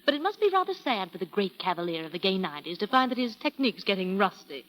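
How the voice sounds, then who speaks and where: A woman's voice: mid-range voice, very animated, a bit bright. Coy, sly showgirl, lilting and reverberant. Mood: mocking, shrewd. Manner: coy, teasing, drawn-out phrases. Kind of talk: 1940s radio acting. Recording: reverberant 1940s radio broadcast.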